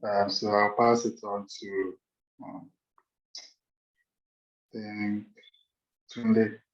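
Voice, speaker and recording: A man speaks in a low, flat voice. He is hesitant and soft-spoken. His audio is dull and lo-fi, with a roomy echo, over a video call. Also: very slow. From Ghana. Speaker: co-founder. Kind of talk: self-introduction on a video call.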